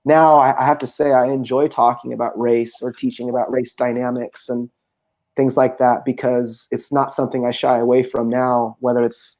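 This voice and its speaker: A man, a poet being interviewed, speaking thoughtfully over a video call with frequent pauses and filler sounds. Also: medium pitch, mellow. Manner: thoughtful, hesitant with many pauses. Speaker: poet, guest. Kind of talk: casual conversation. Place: home room.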